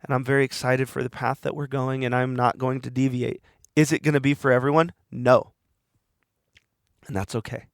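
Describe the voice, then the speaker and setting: A man's voice: mid-range voice, flat delivery, normal speed, vocal fry, dry recording, clean studio sound. A man hosting a podcast, talking alone in a rambling, earnest way while he is losing his voice. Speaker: podcast host. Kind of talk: reflective solo monologue.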